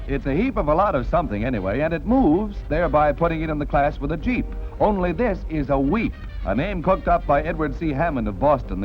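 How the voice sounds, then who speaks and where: A 1940s American newsreel man delivers scripted commentary in a highish, very animated voice. He is jaunty and punchy, with a wisecracking showman's touch. The old soundtrack is dull, with music playing clearly behind him. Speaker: newsreel narrator. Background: background music.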